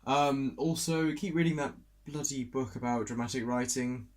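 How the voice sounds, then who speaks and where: A man's voice: medium pitch, level tone, moderate pace. Casting: British male vlogger, early twenties, casual, self-deprecating, rambles as he reflects. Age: early 20s. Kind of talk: casual personal vlog and reflective narration.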